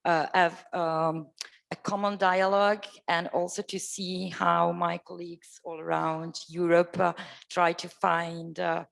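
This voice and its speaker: A woman's voice: fairly low pitch, vocal fry. A woman with an Italian accent introducing herself as a library director at a roundtable, with frequent fillers. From Italy. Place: meeting room at a foundation.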